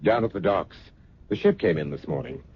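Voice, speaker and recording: Faint noise and a dull old radio sound frame a protective, haunted husband. His low voice moves expressively, staying earnest and soothing in a radio drama style. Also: expressive, brisk. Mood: calm, protective. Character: patient, protective husband and stepfather, quietly haunted.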